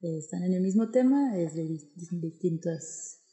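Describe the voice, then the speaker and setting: A woman's voice: on the low side, expressive, normal speed, mellow. A woman with a central Mexican accent answering interview questions conversationally, hesitant and searching for words, in a reverberant room. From State of Mexico, Mexico. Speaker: interview guest, architect. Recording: very echoey, reverberant room sound.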